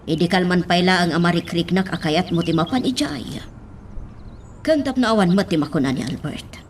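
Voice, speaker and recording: A woman's voice: on the low side, lively intonation, moderate pace, natural voice. A woman playing an insistent, nagging wife in a radio drama, with an Ilocano accent. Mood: insistent, reproachful. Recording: faint birdsong and background noise.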